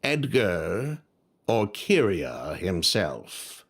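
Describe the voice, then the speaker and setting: A man's voice: low voice, huge pitch swings, very slow, heavily acted. A man voicing a glib, self-justifying swindler with a theatrical manner in an anime game. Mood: defensive, glib. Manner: theatrical, smooth-talking.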